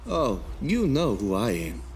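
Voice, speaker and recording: A man's voice: medium pitch, huge pitch swings, unhurried, slight background noise. A man playing a cocky, threatening rogue fighter with an insolent manner in a dramatic fan dub.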